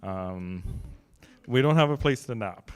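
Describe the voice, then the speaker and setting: Young man, low smooth voice, halting gallery welcome on a handheld mic, lots of "um" and restarts. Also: lively intonation, a little mellow. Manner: halting, many fillers and restarts, light joking. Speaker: gallery artistic director. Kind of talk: casual welcoming remarks. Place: gallery room, seated at a long table. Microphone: handheld microphone.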